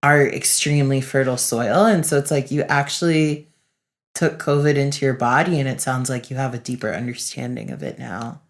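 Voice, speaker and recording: Low-pitched young woman, thoughtful, earnest, filler-heavy casual podcast talk. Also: brisk. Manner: reflective, earnest, with frequent "like" and "um". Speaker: podcast co-host, facilitator.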